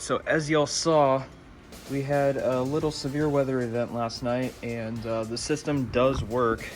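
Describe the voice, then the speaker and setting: A man talking casually through a hands-on DIY electronics project as he presents it. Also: mid-range voice.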